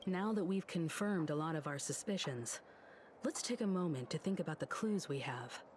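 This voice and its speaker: An actress playing a composed, sympathetic investigator in a video game, speaking in a measured, earnest way. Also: female voice, low voice, lively intonation, darkish tone, slight background noise. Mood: pensive, composed.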